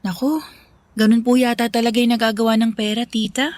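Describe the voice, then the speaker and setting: A woman plays a young Filipino mother in a radio drama. Her voice sits fairly high, and she speaks with friendly, deferential politeness and plenty of expression. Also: flat delivery, a little fast, darkish tone, dry recording, natural voice. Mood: earnest, resigned. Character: young mother Sarah, respectful, friendly grateful niece.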